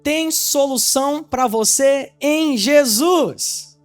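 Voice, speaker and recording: A man's voice: very high voice, extremely slow, dry recording, soft background music. A man from Salvador, Bahia, preaching an evangelistic sermon to his congregation. He teaches with rhetorical questions and keeps checking whether they understand. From Salvador, Bahia, Brazil. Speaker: pastor.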